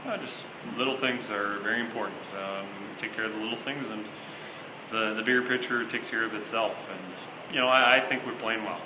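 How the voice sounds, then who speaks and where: Low-voiced coach answering reporters. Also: male voice. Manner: measured, hesitant, many fillers and restarts. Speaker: hockey head coach. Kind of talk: postgame press conference. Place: small interview room before a sponsor backdrop. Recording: echoey room with loud air-conditioning hum.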